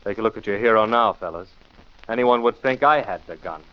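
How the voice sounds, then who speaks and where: An actor playing a confident, cool amateur detective in 1940s radio style, assured and commanding. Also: male voice, fairly low pitch, a little fast, natural voice. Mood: cool, taunting. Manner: taunting, assured, crisply commanding. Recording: muffled, noisy 1940s radio recording.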